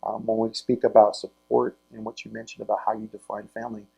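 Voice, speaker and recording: An American man works as the host of a sports interview show. His delivery is conversational and halting, and he often doubles back on his words as he puts questions together. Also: lowish voice, little pitch movement, creaky, mellow, clear, resonant tone, highs cut off.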